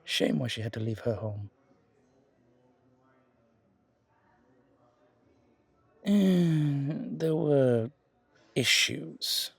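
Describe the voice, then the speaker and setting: A man's voice: mid-range voice, animated, slow, creaky, husky, no room sound, heavily acted. A man playing a charming, flirtatious male museum guide in an audio roleplay, chatting intimately with genteel, hesitant asides. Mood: wry, admiring. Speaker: character performer in an audio roleplay. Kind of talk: intimate ASMR roleplay monologue, conversational.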